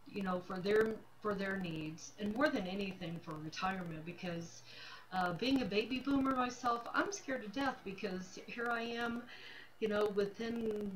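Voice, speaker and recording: Woman, late fifties, casual livestream talk, candid, emphatic repetition, medium pitch, faint room noise. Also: fairly bright tone, low-quality recording. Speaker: livestream host, self-employed realtor. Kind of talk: casual personal talk to camera.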